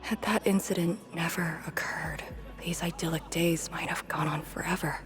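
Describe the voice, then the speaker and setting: An actress playing a haunted, wistful young woman in a video game, speaking softly and hesitantly in a breathy near-whisper. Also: lowish voice, steady tone, natural voice. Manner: slow, hesitant, pensive. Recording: music behind the voice. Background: background music.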